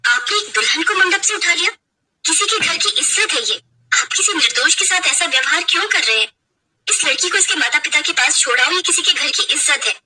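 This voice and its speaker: A woman's voice: sits high, expressive, fairly quick, very noisy, pitched above the performer's own voice. A woman voicing a conscientious, reproachful bandit's wife in a dramatic story. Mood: reproachful pleading.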